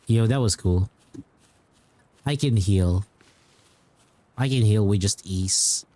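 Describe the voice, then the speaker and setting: A man's voice: lowish voice, slow pace, warm tone, faint music behind. A man chatting casually as a game streamer, rambling informally and often repeating his phrases. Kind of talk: casual livestream chat and commentary.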